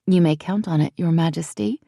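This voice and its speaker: A woman's voice: lowish voice, a little fast, vocal fry, dark tone, no room sound, clean studio sound. A woman voicing a tall young heroine who talks back proudly and then grows flustered. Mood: defiant. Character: tall young woman, bold but nervous.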